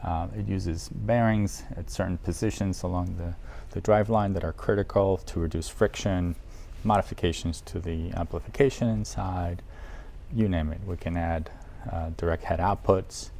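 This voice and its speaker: A man's voice: sits low, steady tone, normal speed, vocal fry, darkish tone. A man presenting a casual product guide in an audio shop, talking informally and with enthusiasm for the gear. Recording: noticeable background noise, room ambience.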